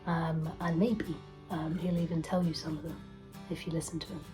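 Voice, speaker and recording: A woman's voice: low voice, flat delivery, normal speed, low-quality recording. A woman giving reflective interview talk, thoughtfully searching for her words. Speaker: audio drama team member. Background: plucked guitar music.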